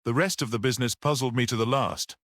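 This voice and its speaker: A man voicing a gentle, shrewd priest detective in a story, speaking simply and earnestly with quiet certainty. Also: medium pitch, fairly even intonation, fast talker, no room sound, studio quality, natural voice. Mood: reflective.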